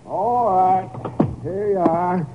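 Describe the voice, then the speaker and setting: An actor playing a wisecracking, good-natured small-town diner owner with a Southern accent and a folksy, teasing manner in an old-time radio drama. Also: male voice, sits high, slow. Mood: good-natured ribbing. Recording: muffled, noisy old radio recording.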